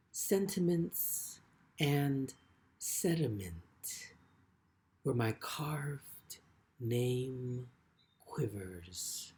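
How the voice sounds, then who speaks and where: A man with a mid-pitched voice reads in a literary style, as a poet presenting his own work. His delivery is very slow and measured, with phrases set apart by frequent pauses. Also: darkish tone. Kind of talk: literary poetry reading with brief spoken introductions.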